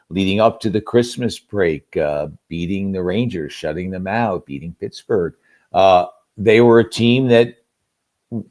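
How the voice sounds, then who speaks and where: A man's voice: lowish voice, a little mellow. A man giving conversational sports analysis as a guest commentator, talking emphatically and posing rhetorical questions. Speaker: guest sports radio play-by-play announcer.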